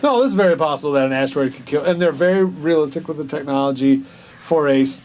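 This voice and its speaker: A man co-hosting a review show, talking casually and off the cuff, explaining things in a halting, hedging way. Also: fairly high pitch, darkish tone, a little hiss or hum. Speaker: co-host of a film and TV review show. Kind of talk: casual unscripted review chat.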